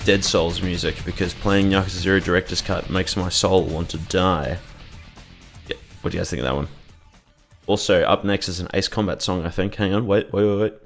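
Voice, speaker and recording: A man with a very low voice is commentating on his game stream, rambling casually and throwing in sarcastic jokes. Soft music plays quietly in the background. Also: steady tone, brisk. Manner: joking, rambling, sarcastic asides. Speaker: gaming streamer.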